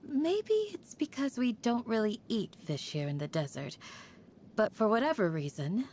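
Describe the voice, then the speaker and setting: A woman's voice: a little high, big pitch swings, moderate pace. A composed young female desert guardian, noisy recording. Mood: calm, warm. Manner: gentle, earnest, composed in talk. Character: calm, protective young woman guardian of a desert village. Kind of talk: video game character voice lines.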